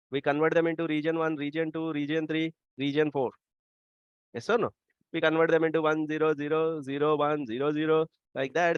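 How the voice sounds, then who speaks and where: A man's voice: a little high, no room sound. A man with an Indian accent teaching a class, often asking students for answers. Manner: quick, asks the class for answers. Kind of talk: classroom lecture. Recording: dry, dull lo-fi sound.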